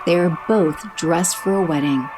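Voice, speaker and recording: Low-voiced female audio drama narrator over music. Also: unhurried. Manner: steady, descriptive. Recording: music bed under narration.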